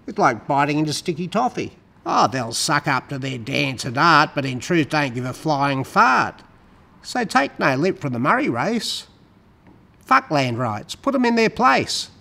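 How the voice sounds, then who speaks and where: A man's voice: on the high side, sing-song, measured pace, creaky, pushed and bright. A man giving a dramatic, emphatic recitation of rhyming poetry. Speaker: poetry reader.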